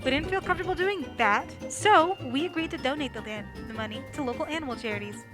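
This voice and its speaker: High-voiced woman announcer over music. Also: fast talker. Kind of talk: scripted announcement.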